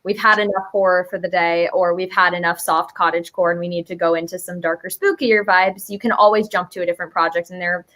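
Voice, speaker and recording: A woman's voice: on the low side, fairly even intonation, fast talker, few pauses, a bit bright. A young adult woman chatting casually as an interview guest, explaining her creative work in a giggly, slangy, playful way.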